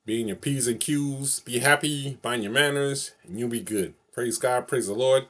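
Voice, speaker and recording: A man's voice: medium pitch, normal speed, fairly bright tone. A man, vlogging casually to camera from his bedroom, repeating and circling back over the same phrases. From New York City. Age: 40s. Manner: repetitive, rambling, loops over the same phrases. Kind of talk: casual solo vlog to camera. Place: small bedroom, indoors.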